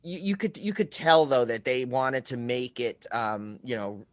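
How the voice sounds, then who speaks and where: A man hosting a podcast, chatting casually about films in halting phrases that often circle back. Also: medium pitch, no room sound. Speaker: podcast host. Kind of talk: casual film chat.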